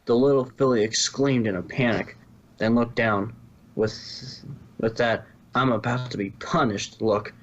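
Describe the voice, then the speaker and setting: A man's voice: medium pitch, fairly even intonation, measured pace, dull audio. A man reading story narration from a script, now and then stumbling and rereading a phrase. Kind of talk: scripted fan fiction narration.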